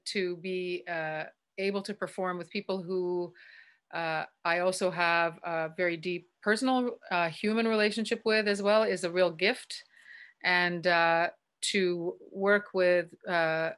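A woman in her forties, a guest performer on a video call, chatting in a playful way with drawn-out fillers, recorded by her webcam indoors. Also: on the low side, steady tone, fairly bright tone, clean tone. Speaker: guest dancer and singer. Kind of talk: casual video call chat. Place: indoors in front of a green screen.

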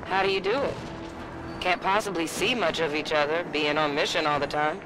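A man's voice: on the high side, fairly quick, over music, close to an everyday voice, low-quality recording. A man playing a blunt, terse, hardened soldier from a ruined colony world in a video game. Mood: curious, guarded. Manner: terse, wry.

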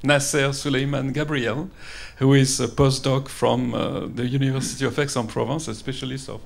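Male professor, gravelly timbre, easygoing and self-deprecating. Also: mid-range voice, level tone, moderate pace. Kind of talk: conference self-introduction.

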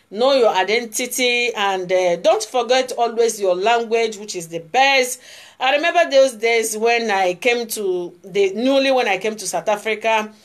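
A woman in her forties with a Nigerian Igbo accent, talking straight to her phone camera in a casual vlog, emphatic and repeating her points. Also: a little high. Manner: emphatic, repeats phrases, gestures while talking. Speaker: vlogger. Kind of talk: casual political vlog monologue. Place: indoor room before hung flags. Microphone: phone camera close up.

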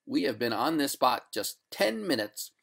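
A narrator playing a firm, coolly courteous gentleman in a storybook, formal and measured, with a quiet gloat. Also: medium pitch, sing-song, gravelly, heavily acted. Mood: calm, cold.

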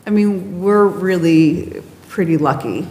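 A woman's voice: lowish voice, takes it slowly, a little mellow. A woman chairing a community board meeting, casual and upbeat with many fillers, speaking into a table mic. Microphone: table microphone. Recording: echoey room with noise.

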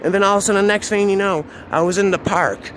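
High-pitched, agitated male storyteller, noisy outdoors. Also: fairly even intonation, quick pace, a bit bright. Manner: agitated, repetitive, profane, slangy. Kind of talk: improvised first-person dark fictional storytelling. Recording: noisy outdoor recording with traffic ambience.

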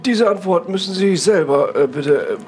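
A man playing a deferential, discreet butler with a formal, halting, servile manner in an audio drama. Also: sits high, expressive, darkish tone. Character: deferential, discreet male butler reporting to lords. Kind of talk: improvised pen-and-paper audio drama acting.

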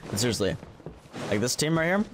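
A man's voice: mid-range voice, big pitch swings, a little fast. A tired man giving casual livestream commentary on a game, laid-back, with sarcastic asides and mild swearing. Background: game music.

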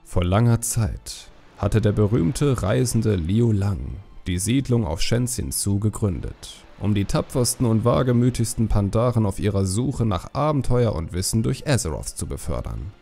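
A man with a low, gravelly voice narrates a fantasy story. His delivery is mellow scripted audiobook reading, set over faint music. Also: expressive, moderate pace, hardly any pauses.

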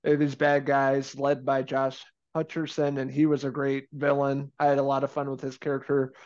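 A man's voice: medium pitch, normal speed. Chatty man on a dull lo-fi call. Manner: relaxed, chatty, laughs easily. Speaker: movie review co-host. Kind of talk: casual film review chat. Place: home room indoors. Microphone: video call webcam.

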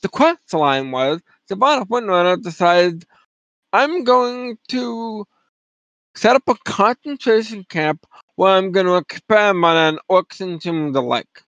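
Man, high voice, lively intonation, blunt, casual livestream commentary. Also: a little slow, dry recording, highs cut off. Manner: opinionated, blunt, emphatic. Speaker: streamer and co-commentator. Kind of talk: casual opinionated livestream chat. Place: indoor room at a streaming setup.

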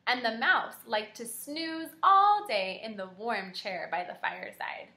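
Bright, high-voiced young woman teaching small children. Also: a little slow. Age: young adult. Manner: animated, encouraging, addressing young children. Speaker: kindergarten teacher. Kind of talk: children's read-aloud lesson with prompting questions. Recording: echoey indoor room.

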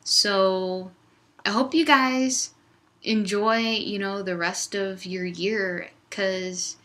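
A young woman chatting casually and cheerfully in a vlog, with a fairly low voice, recorded on a laptop webcam in a small dorm room. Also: fairly even intonation, very slow, sharp tone. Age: young adult. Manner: upbeat, chatty, loose rambling. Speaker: vlogger. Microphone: laptop webcam at desk distance.

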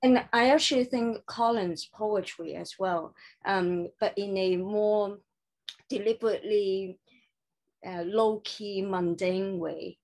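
A woman moderating an online panel, asking questions haltingly with many fillers. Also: mid-range voice, a little mellow.

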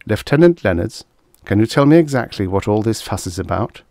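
A man's voice: lowish voice, expressive, brisk, gravelly, darkish tone, no echo, clean studio sound. Man voicing a stern, authoritative commanding officer. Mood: controlled command. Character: stern, weary male commanding officer of an outpost.

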